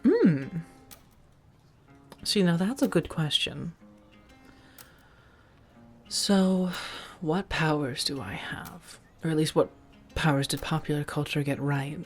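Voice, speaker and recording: Woman doing a soft low vampire roommate for asmr, wry and secretive, slow careful phrases, music underneath. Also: heavily acted. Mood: gentle, amused. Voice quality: soft, breathy whisper at times. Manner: measured, halting, pausing between phrases. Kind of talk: soft-spoken ASMR roleplay.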